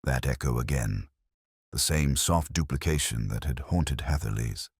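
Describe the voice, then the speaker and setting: A man narrating a dramatic mystery story in a measured, solemn manner with short, deliberate phrases. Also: a bass voice, slightly rough, no echo, clean studio sound.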